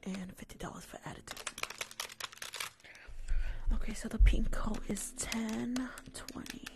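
A woman's voice: on the high side, unhurried, creaky. A young woman playing a rude, impatient, sassy nail technician in a whispered ASMR roleplay, close to the microphone. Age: young adult. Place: small decorated room indoors. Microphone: fluffy-covered microphone, close up.

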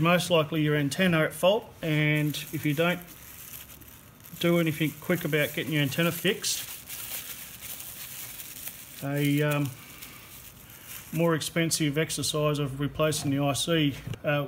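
A man's voice: a little high, flat delivery, measured pace, long pauses. A man giving a hands-on repair demonstration, explaining each step as he works. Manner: explanatory, talking while working. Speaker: radio repair technician presenter. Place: indoor electronics repair workbench. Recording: noisy workshop recording with clicks. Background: workshop noise, clicks, test tones.

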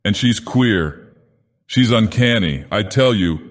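A man voicing a gruff, drunken, superstitious schooner captain in a storybook narration, grumbling and emphatic with fear underneath. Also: fairly low pitch, lively intonation, slow, big-room sound, voice pushed up. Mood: grumbling, uneasy.

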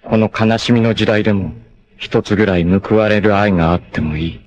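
A man's voice: lowish voice, brisk, darkish tone, natural voice. A man voicing a proud, doomed young martial-arts warrior bent on revenge in a 1980s anime, with intense, theatrical, solemn delivery. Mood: grave, resolute. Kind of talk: dramatic 1980s anime voice acting. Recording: noisy old TV anime soundtrack clips.

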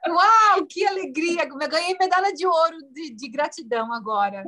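A woman hosts a live video call, gushing warm praise at her guest. Her voice is high and bright with a crisp edge. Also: lively intonation, normal speed. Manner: effusive, praising. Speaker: dance teacher and host. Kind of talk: enthusiastic live-call hosting. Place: room with tapestry backdrop.

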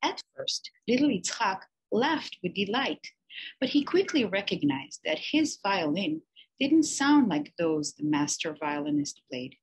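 A teacher reading a children's picture book aloud, slow and expressive with emphatic pauses. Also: female voice, medium pitch, breathy edge, poor audio.

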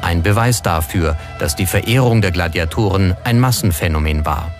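A man's voice: deep voice, normal speed. A man reading scripted documentary narration as the narrator. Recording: music playing behind the voice. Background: background music.

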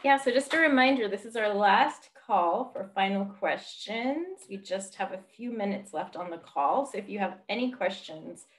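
A woman's voice: mid-range voice, lively intonation, normal speed, few pauses. A woman in her thirties moderating a live online question session over a video call. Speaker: event moderator. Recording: roomy, echoing video-call sound.

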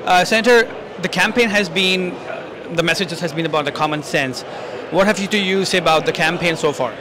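A man asks his questions quickly but haltingly, stopping for fillers. His voice is slightly high. He holds a handheld mic in a convention hall with clear crowd chatter behind him. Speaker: interviewer. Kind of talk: asking interview questions. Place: large convention hall lobby, indoors.

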